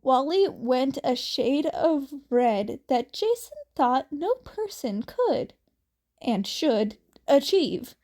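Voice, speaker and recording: A woman reading fan fiction aloud as an amateur narrator, in an informal, slightly halting way. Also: high-pitched, big pitch swings, warm tone. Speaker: amateur podfic reader.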